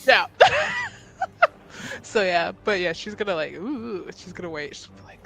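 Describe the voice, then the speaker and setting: Very animated woman tabletop player. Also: mid-range voice, a little slow, vocal fry. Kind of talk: casual roleplay table talk.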